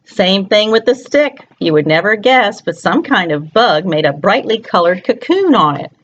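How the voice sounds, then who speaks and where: A woman's voice: mid-range voice, very animated, moderate pace, a bit bright, highs cut off, slight background noise. A woman storyteller reads a picture book aloud to young children, expressively, often putting questions to her listeners.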